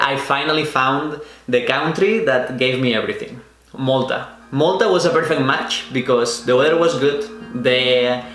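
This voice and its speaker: A man's voice: mid-range voice, fairly bright tone, roomy sound. Spanish-accented young man telling his story. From Spain. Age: 20s. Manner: earnest, unhurried storytelling with small restarts. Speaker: vlogger. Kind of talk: personal storytelling.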